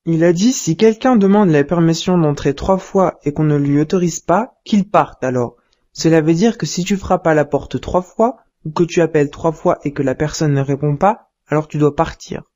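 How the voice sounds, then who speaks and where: A woman voicing a calm wise cartoon father. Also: deep voice, moderate pace, close to an everyday voice. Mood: patient teaching. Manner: gentle, patient, explaining. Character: calm wise father teaching his son. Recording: dull lo-fi cartoon audio.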